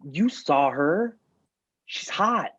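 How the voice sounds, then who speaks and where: A man playing a sheepish, tactless teenage boy in a screenplay table read, halting and eager to please. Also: on the high side, lively intonation, fairly bright tone, breathy edge, highs cut off, heavily acted. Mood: defensive. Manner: halting, backpedaling.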